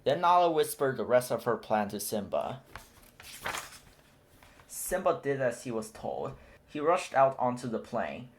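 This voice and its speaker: A man narrating a children's picture book aloud in a steady, clear storytelling manner. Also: medium pitch, unhurried, pauses often, a little hiss or hum. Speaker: storybook reader.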